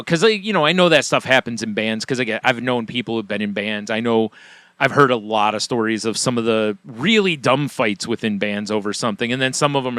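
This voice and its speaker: A man's voice: mid-range voice, sharp tone, dry recording. A man co-hosting a podcast, talking in a rambling, casual way full of "like" and "you know" asides. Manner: rambling, filler-heavy, many asides. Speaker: podcast co-host. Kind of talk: casual chat.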